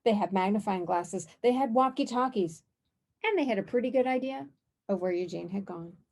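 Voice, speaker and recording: A woman's voice: mid-range voice, normal speed, a little mellow. Woman reading a picture book aloud. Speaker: children's author reading own book.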